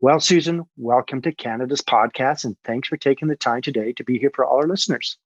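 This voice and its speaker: A man's voice: mid-range voice, fairly quick, no room sound. Man, podcast host, upbeat, direct questions, dry sound, muffled lo-fi recording. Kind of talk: podcast interview hosting.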